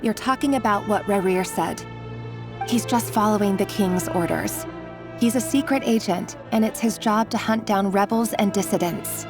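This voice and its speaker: An actress playing an earnest, secretive and protective young woman in a video game, measured and resolute over background music. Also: medium pitch, close to an everyday voice. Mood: earnest, guarded. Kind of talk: scripted video game voice acting. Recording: game dialogue with music underneath.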